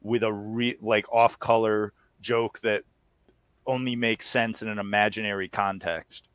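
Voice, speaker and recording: A man's voice: on the low side, level tone, measured pace, a bit bright, clean tone, no echo. A man chatting casually as an interview guest, with a laid-back, wry manner. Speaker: podcast guest, audio series co-creator.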